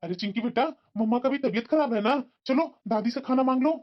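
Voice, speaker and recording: A woman voicing a gentle young husband and father who reassures his family. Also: a little high, fast talker, lightly acted. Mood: coaxing. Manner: soothing and reassuring. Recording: dull lo-fi recording.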